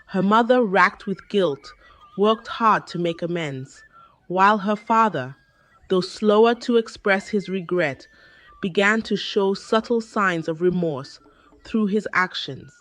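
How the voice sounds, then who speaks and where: A woman narrating a scripted folktale in a measured, dramatic storytelling manner. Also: medium pitch, a bit bright.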